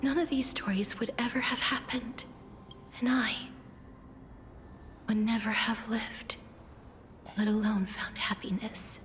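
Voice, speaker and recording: An actress playing a solemn young maiden in a fantasy game, with a soft, breathy, half-whispered voice and formal, earnest delivery. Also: female voice, a little high, steady tone, a little slow, long pauses, slight background noise, lightly acted. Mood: tender, grateful. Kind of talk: scripted fantasy game dialogue.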